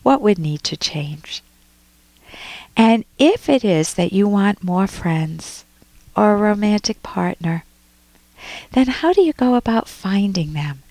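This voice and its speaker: A middle-aged woman hosts a call-in advice show, talking through a listener's problem in an unhurried, reassuring way. Her voice sits in an ordinary middle pitch and often restarts a phrase before landing it. The studio sound is close and dry, with no room around it. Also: animated, warm tone, no room sound, low-quality recording. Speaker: radio advice show host. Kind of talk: reading a listener's letter and giving advice.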